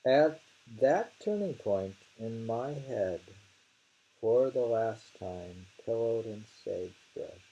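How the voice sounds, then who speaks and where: Male poetry reader, unhurried and dark-toned, casual commentary between verses, musing and halting. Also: medium pitch, animated, vocal fry, lo-fi sound. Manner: halting, musing, repeats phrases. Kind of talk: reading poems aloud with casual commentary.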